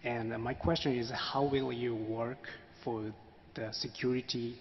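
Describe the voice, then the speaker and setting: Monotone man in an echoing hall. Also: medium pitch, a little slow. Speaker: audience member asking a question. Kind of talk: audience question. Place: large hall at a public forum. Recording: dull, distant, noisy hall recording.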